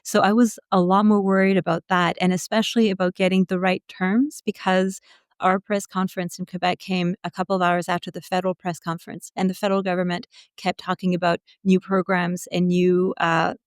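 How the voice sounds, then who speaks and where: A woman's voice: lowish voice, level tone, brisk, clear, resonant tone, no room sound. A woman telling stories casually as an interview guest, candid and chatty.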